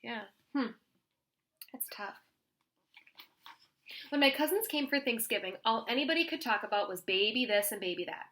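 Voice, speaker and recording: Bright-voiced woman teacher reading aloud, higher pitched. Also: moderate pace, long pauses. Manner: expressive, instructive, prompting listeners with questions. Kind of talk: children's story read-aloud with questions to pupils.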